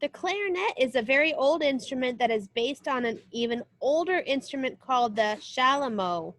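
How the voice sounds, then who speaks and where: High-voiced woman reading aloud as teacher. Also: animated, moderate pace, a bit bright, dry recording. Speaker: music teacher reading a children's book aloud. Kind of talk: read-aloud lesson with short spoken asides.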